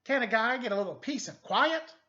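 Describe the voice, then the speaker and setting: A man voicing a brash, excitable exclamation mark from a children's storybook, emphatic and secretly craving quiet. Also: very high voice, a little fast, pitched above the performer's own voice, exaggerated voice. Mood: exasperated, loud. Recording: dull lo-fi sound.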